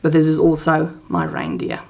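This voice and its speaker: A woman's voice: deep voice, very animated, moderate pace, gravelly, darkish tone. A woman whose first language is Afrikaans, chatting casually as host of her crochet channel, rambling with fillers and restarts. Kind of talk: casual crafting chat.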